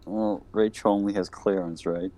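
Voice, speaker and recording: Deep-voiced hesitant male player. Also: steady tone, moderate pace, warm tone. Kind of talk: casual tabletop game chat.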